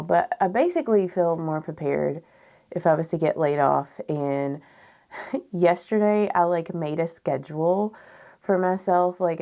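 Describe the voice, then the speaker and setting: A woman in her thirties with a froggy, raspy voice, chatting candidly and ramblingly as a beauty vlogger doing a makeup tutorial indoors. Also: sits low, a little mellow. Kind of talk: casual chat during makeup tutorial. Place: small furnished room indoors.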